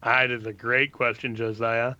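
Man, bright dry voice, radio guest, patient explaining, slightly muffled. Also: mid-range voice, little pitch movement, normal speed, no room sound. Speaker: guest answering callers' questions on faith. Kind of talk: call-in radio talk, explaining.

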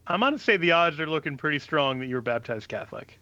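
Man, radio guest, bright forceful voice, a little muffled. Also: on the high side, expressive, dry recording. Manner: measured, explanatory. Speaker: studio guest. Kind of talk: explaining on a call-in show.

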